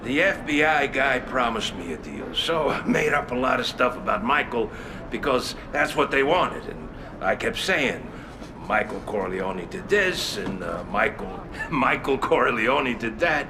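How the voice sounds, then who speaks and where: An evasive, gruff gangster witness. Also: male voice, mid-range voice, expressive, unhurried, background noise. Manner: gruff, evasive, rough grammar. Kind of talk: video game cutscene acting.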